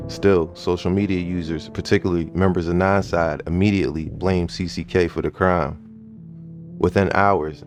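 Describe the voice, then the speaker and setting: A man reading scripted documentary narration in a measured, matter-of-fact manner. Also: low-pitched, darkish tone. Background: faint background music.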